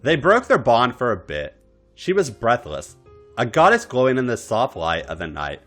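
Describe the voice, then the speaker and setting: A man's voice: medium pitch, huge pitch swings, normal speed, a bit bright, soft background music. A man narrating erotic fan fiction for a podcast in a theatrical, dramatic style. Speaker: podcast story reader.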